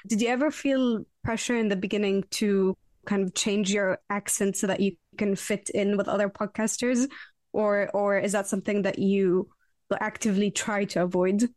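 A woman's voice: mid-range voice, steady tone, normal speed, a little mellow, no echo, studio quality. A young woman from Lebanon with a Lebanese Arabic accent, asking questions and reflecting in a casual, thoughtful way as a podcast co-host. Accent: Lebanese Arabic, shaped by Abu Dhabi. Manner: casual, thoughtful, filler-heavy.

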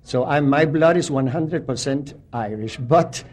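A man's voice: medium pitch, a little slow, a little mellow. A man from Argentina whose first language is Spanish, speaking English with an Argentine accent as he answers a radio interview in a reflective way, recalling names and places one by one. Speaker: literature teacher.

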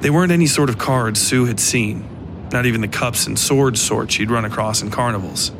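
A man narrating a scripted dark fiction story for a podcast. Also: mid-range voice, brisk, noisy background. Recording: clear music bed behind voice. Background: music.

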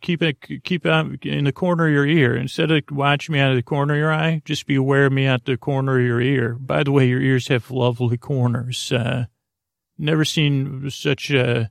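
A man hosting a podcast in a rambling bedtime monologue, halting and often interrupting himself as he meanders. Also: mid-range voice, creaky, no echo, studio quality. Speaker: podcast host.

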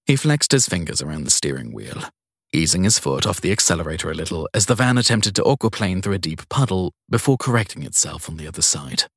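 A man's voice: low-pitched, sing-song, quick pace, a bit bright, breathy edge, dry recording, studio quality. A man reading a crime story as a scripted audiobook narration.

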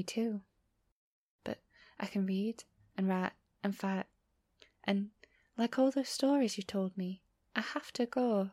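An apologetic young runaway, played by an actress, voices a farewell letter in a fantasy audio drama. The voice is medium in pitch, soft and breathy, sometimes near a whisper. It sounds dry and intimate, tender yet earnest. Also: female voice, no echo. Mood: wistful, resolute.

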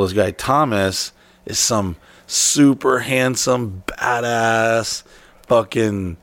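A man's voice: lowish voice, a bit bright, dry recording. A man in casual chat, telling a rambling, swear-heavy story.

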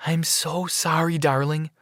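A man's voice: a little high, level tone, measured pace, a bit bright, dry recording, clean studio sound, pitched above the performer's own voice. A man voicing a contrite, tender female nurse pleading with her lover in a narrated story.

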